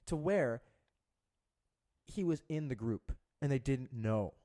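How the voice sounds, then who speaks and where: A man's voice: mid-range voice, lively intonation, slow, dark tone. Young guy on a podcast, rambly, swears a lot, keeps restarting his sentences. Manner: profane, rambling storyteller with many restarts. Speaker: podcast co-host. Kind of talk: casual chat.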